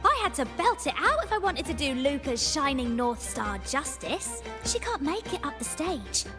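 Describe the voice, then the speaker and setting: An actress playing a big-hearted young singer in a video game, with a bubbly, theatrical manner. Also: female voice, high voice, sing-song, moderate pace. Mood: cheerful, tender. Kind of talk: game voice acting. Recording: music behind the voice. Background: music.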